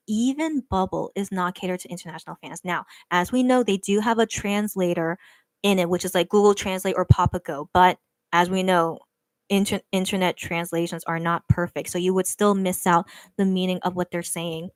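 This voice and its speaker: A woman's voice: medium pitch, a little mellow, no room sound. Earnest woman chatting with viewers. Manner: hesitant, many restarts and "right?" tags; earnest, careful to explain her view. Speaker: livestream host reading chat. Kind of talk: casual chat with viewers.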